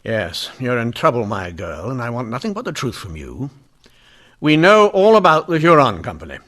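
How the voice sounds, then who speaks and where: An actor playing a shrewd, sardonic police inspector in a radio mystery, commanding and dryly sarcastic. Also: male voice, mid-range voice, wildly swooping pitch, normal speed, fairly bright tone. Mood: stern, pressing. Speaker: actor in lead role. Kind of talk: radio mystery drama acting.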